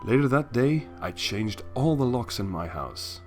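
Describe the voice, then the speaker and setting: A man reading a first-person fan fiction story aloud with expressive narration. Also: medium pitch, measured pace, faint music behind.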